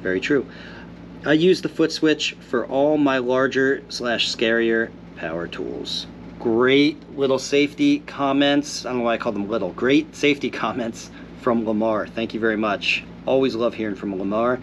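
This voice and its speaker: Host, male, mid-pitched, a bit phlegmy, relaxed Q&A delivery, faint hum behind. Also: normal speed. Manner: reads viewer comments aloud and replies in an easygoing, thoughtful way. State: congested after illness. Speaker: host answering viewer questions. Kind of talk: casual Q&A, reading out comments.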